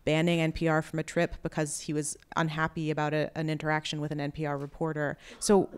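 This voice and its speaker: A woman's voice: low voice, completely flat delivery, quick pace, clean tone. A woman in her thirties moderating an on-stage interview, putting questions into a handheld microphone. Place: auditorium stage. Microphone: handheld microphone held at chest.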